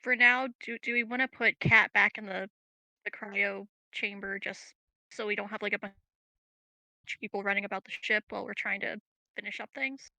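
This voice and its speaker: A woman chats casually as a player in a tabletop game, her phrasing loose and informal. Her voice is very bright and sharp with a creaky fry, recorded dry. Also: mid-range voice, little pitch movement, moderate pace, no room sound, low-quality recording. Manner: casual, peppered with "like".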